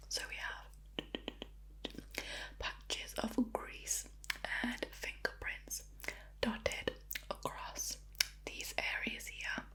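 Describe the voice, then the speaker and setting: A woman whispering breathily in an ASMR roleplay, with a fussy, deliberate, mock-scolding manner. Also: pushed and bright. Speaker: ASMR creator playing a screen cleaner.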